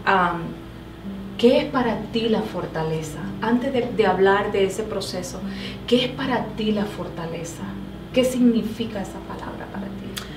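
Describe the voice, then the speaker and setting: A woman's voice: medium pitch, a little slow, far from the mic, over music. Woman host with echoey faraway sound. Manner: warm pastoral phrasing with fillers. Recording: echoey room, background noise.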